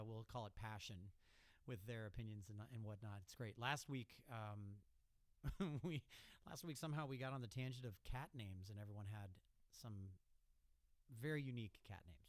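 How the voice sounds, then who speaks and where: A man's voice: fairly low pitch, no echo. A middle-aged man hosting a live music radio show, chatting casually into a close studio microphone. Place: small indoor studio. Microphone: close studio mic on a boom arm.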